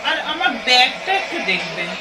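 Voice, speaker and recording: A woman's voice: high-pitched, big pitch swings, fairly quick, over loud music, over a noisy crowd. A woman acting a polite, deferential young traveller in an audio play. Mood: polite, cheerful. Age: young adult. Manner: courteous, deferential to her elder. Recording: distant mic, faint background noise.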